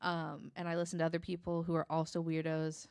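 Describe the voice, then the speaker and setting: A woman with a deep-set, fry-edged voice talks through true crime on a podcast. She is informal and halting, often hedging, and the sound is dry with no room echo. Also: monotone, a little mellow. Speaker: podcast guest. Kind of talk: conversational true crime case retelling.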